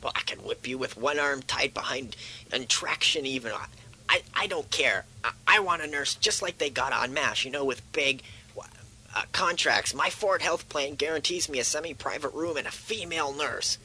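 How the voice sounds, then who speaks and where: A man's voice: fairly high pitch, expressive, moderate pace, bright, forceful tone, breathy edge, heavily acted, rough recording. A man playing a cranky, demanding hospital patient in a comedy sketch, whining, blustering and grumbling out orders and insults.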